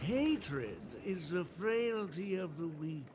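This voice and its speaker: An actor playing a measured, preachy lecturer in a video game. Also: male voice, sits high, animated. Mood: lecturing. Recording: game audio with clear music.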